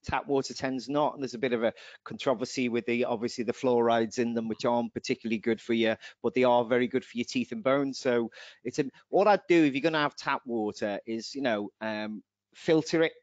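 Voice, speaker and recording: A man's voice: mid-range voice, steady tone, a little fast, dry recording. A man giving rambling, chatty answers on a webinar, his voice slightly going. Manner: chatty, rambling, laughs at own jokes. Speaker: nutrition presenter. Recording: dull dry video call audio.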